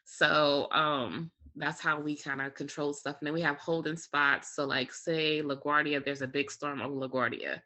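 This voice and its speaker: Bright-voiced air traffic controller explaining the job. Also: mid-range voice, little pitch movement, moderate pace, hardly any pauses. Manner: explains step by step with examples, frequent "you know" and "like". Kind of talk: casual explanatory interview conversation.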